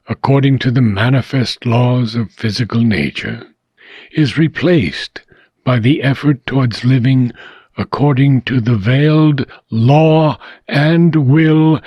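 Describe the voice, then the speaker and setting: A man reading philosophical prose aloud in a measured, deliberate way with frequent pauses. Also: medium pitch, darkish tone, husky, no room sound. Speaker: reader of a philosophical book.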